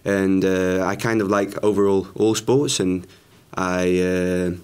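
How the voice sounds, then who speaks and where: Low-voiced young student. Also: male voice, slow, clear, resonant tone. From Sicily. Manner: relaxed, hesitant, casual.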